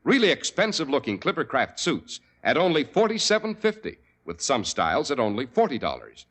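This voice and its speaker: Male vintage radio announcer, crisp salesman read, very lively pitch. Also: a little fast.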